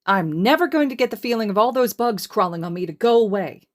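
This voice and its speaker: Narrator acting theatrical adult man, high-pitched, loud, exclamatory, playful, audiobook character. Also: lively intonation, fairly quick, lightly acted. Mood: dramatic complaint.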